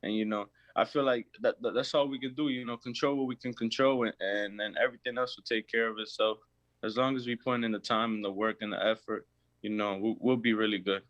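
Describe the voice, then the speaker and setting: Young man, halting "you know" answers. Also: on the low side, steady tone, a bit bright, no echo, highs cut off. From Lawrence, Massachusetts. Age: young adult. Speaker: college basketball player, guest. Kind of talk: casual interview answers.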